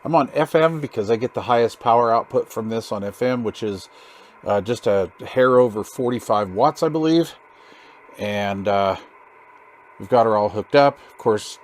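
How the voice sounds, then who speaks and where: A man hosting a hands-on DIY radio build video, chatting casually in a rambling, folksy way with informal slang. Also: lowish voice. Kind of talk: casual hands-on tutorial chat.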